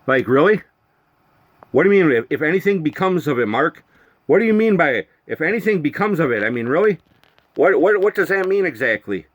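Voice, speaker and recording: A man with a slightly high voice delivers an exasperated, accusatory rant. He repeats his points and piles up rhetorical questions, swearing as he addresses someone absent. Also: sing-song, fairly quick.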